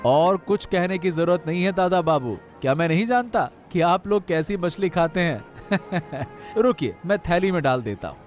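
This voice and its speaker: A man acts out a humble village fishmonger in a cartoon moral tale. His voice is high and very animated. He speaks with coaxing, pleading deference to his customers. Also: normal speed, soft background music. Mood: obliging. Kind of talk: Hindi cartoon moral story dialogue.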